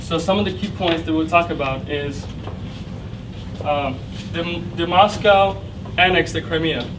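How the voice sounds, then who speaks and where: A man's voice: on the high side, a little slow. A young man from central Ukraine with a Ukrainian accent, whose first language is Russian, giving a slide presentation in a classroom, explaining step by step with fillers and restarts. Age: young adult. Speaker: student presenter. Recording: distant mic with clear background noise.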